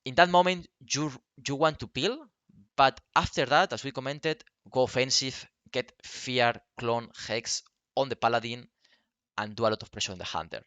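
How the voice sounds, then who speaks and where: A man who is not a native English speaker, giving unscripted game strategy commentary in a halting, instructional way. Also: medium pitch, a bit bright, clear, resonant tone, no room sound. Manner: halting, instructional, often checking with "okay?".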